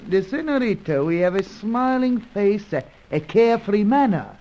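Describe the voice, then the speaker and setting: An earnest South American guide in an old radio serial. His formal, deferential speech is mellow and very animated. The recording sounds dull. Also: high voice, moderate pace. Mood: grave, respectful. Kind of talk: old-time radio adventure serial acting.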